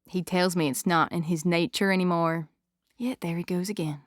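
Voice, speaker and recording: An actress playing a worried, uneasy woman confiding in a friend in an audio drama, with a troubled, expressive delivery. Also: fairly low pitch, moderate pace, dry recording, clean studio sound, close to an everyday voice. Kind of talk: dramatic dialogue in fiction podcast.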